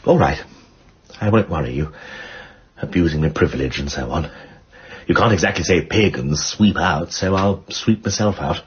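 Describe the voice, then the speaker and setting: An actor playing a witty upper-class English lord detective in a radio drama, with flippant, courteous, old-fashioned speech. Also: male voice, low-pitched, normal speed, long pauses, a little hiss or hum, lightly acted. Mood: suave. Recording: dull, muffled radio recording.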